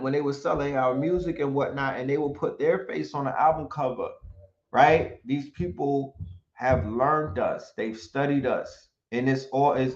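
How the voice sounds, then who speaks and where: A man speaking in an animated, emphatic commentary, often throwing in rhetorical questions and sometimes reading from notes. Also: medium pitch. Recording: roomy, dull lo-fi sound.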